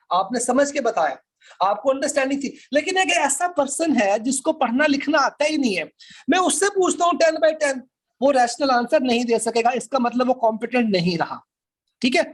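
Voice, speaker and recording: Pakistani guy teaching a law class, really high voice, keeps asking questions and repeating stuff. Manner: explanatory, checks understanding with questions and repetition. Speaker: law lecturer. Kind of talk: interactive classroom lecture.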